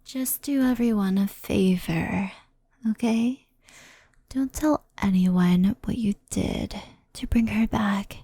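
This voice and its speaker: A woman voicing a stern, composed fox-spirit in an ASMR roleplay, speaking softly in a measured, formal, admonishing way. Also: medium pitch, no room sound. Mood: surprised, wary. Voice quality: breathy, soft. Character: stern, composed female fox-spirit yokai, cautious and scolding.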